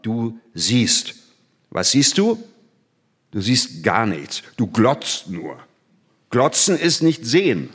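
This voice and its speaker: An actor playing an exuberant, impatient scientist and teacher in a theatrical reading, declaiming with emphasis and exasperation. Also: male voice, mid-range voice, wildly swooping pitch, slow, long pauses, fairly bright tone, breathy edge, exaggerated voice. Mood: exasperated, excited.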